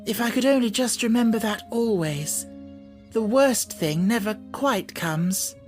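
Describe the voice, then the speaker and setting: A woman voicing an imaginative, kind young girl with an excited, earnest manner in an audiobook. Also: a little high, a little slow, faint music behind, voice pushed up, lightly acted. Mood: hopeful wonder. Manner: excited, earnest, make-believe playfulness. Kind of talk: audiobook character acting.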